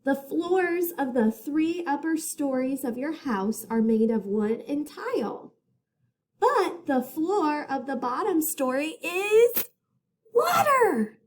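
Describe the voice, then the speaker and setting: A woman reading a picture book aloud as a teacher to children, in a deliberate, expressive storytelling manner. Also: high voice.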